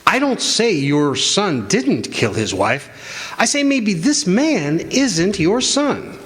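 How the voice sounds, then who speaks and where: A man's voice: high-pitched, sing-song, slow, fairly bright tone, full character voice. A man playing an earnest newspaper reporter, questioning and insistent, in a radio drama. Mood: pleading, insistent. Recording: echoey hall stage recording.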